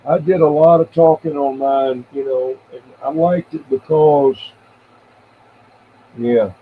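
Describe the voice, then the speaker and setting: A man's voice: a little high, fairly even intonation, takes it slowly. Older American man, tinny radio sound. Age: 70s. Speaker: CB radio operator and live streamer. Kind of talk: casual rambling radio chat. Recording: tinny band-limited radio audio with faint noise.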